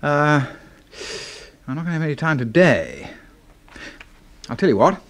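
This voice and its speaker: A man's voice: fairly high pitch, big pitch swings, unhurried. A man playing a jovial, chummy English doctor in a 1970s radio drama, with a British accent and a hearty, clipped manner. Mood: breezy. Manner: hearty, chuckling, clipped old-chap talk.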